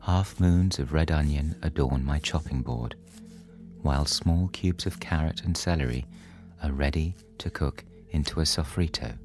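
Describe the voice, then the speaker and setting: A man narrating a scripted bedtime story in a soothing, measured manner. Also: very deep voice, darkish tone, faint music behind.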